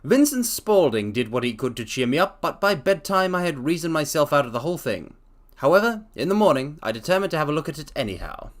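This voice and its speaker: A man's voice: on the high side, sing-song, quick pace. A man reading as an earnest middle-aged shopkeeper. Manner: plain, earnest storytelling. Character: earnest middle-aged male shopkeeper telling his story.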